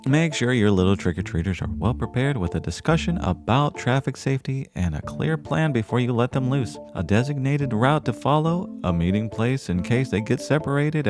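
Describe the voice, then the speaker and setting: An actor playing a chipper small-town podcast host, with a theatrical, flowery, folksy delivery in a scripted radio style. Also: male voice, lowish voice, lightly acted.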